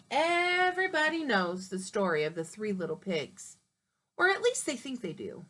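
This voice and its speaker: A woman reads a picture book aloud at an unhurried pace, her voice bouncing with lively pitch. She speaks like a teacher, stopping to point out the pictures. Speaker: reader.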